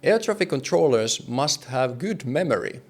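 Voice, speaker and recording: A man's voice: medium pitch, expressive, moderate pace, darkish tone. A man presenting a scripted explainer in a conversational, plain-spoken way, with emphasis and light wryness. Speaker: host, air traffic control explainer.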